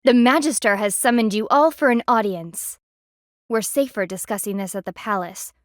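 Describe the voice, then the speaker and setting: A woman's voice: fairly high pitch, normal speed, no echo, studio quality, lightly acted. An actress voicing a stern, haughty female panda palace enforcer in a video game, formal, commanding and disdainful. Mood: curt, imperious.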